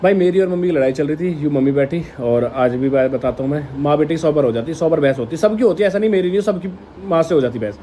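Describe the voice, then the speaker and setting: Mellow-voiced man, slightly high. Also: fast talker, slight background noise. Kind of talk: casual personal explanation to camera.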